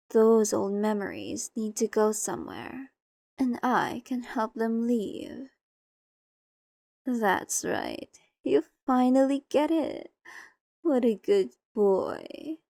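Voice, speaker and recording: A woman's voice: on the high side, creaky, a little mellow, no echo, full character voice. A woman playing a seductive, possessive, ancient vampire mistress in an ASMR roleplay, coaxing and condescending, sometimes slipping into whispers. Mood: sinister, coaxing. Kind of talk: ASMR roleplay acting, addressed to the listener.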